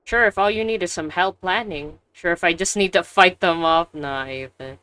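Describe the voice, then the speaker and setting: A woman's voice: fairly low pitch, normal speed, a bit bright, clear, resonant tone. A woman streamer giving casual gameplay reactions in short, playful, slangy remarks.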